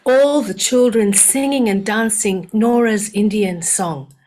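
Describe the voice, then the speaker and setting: An older woman from Uganda, a storyteller reading her own poem aloud in a soft-spoken way. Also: medium pitch. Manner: soft-spoken, unhurried, breaks into chanted and sung verses. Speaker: storyteller and poet.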